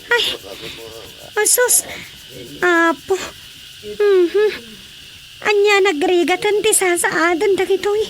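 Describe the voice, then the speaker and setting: Very high-pitched fretful nurse. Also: female voice, fairly even intonation, slow, long pauses, a little hiss or hum. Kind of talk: Ilocano radio drama acting.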